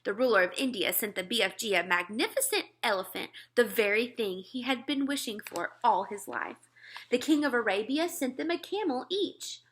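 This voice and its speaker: A woman reading a children's book aloud as scripted narration, expressive and clearly phrased. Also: a little high, moderate pace. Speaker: storybook reader.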